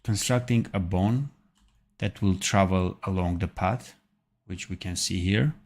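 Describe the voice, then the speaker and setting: Slow, gravelly low-voiced man thinking aloud. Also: darkish tone. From Armenia. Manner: thinking aloud, halting with fillers and self-corrections. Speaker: animation tutor. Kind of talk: live-streamed software tutorial.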